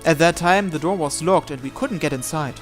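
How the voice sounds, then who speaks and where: A man with a fairly high voice reads visual novel narration aloud as a let's play host. He sometimes stumbles or steps out to comment casually, while music plays behind him. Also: a little fast. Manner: stumbles and breaks off to comment on the text. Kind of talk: reading story narration aloud with casual asides.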